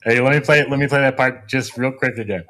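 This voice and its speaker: A man's voice: medium pitch, quick pace, sharp tone. A man talking casually and explaining things as a paranormal investigator and guest.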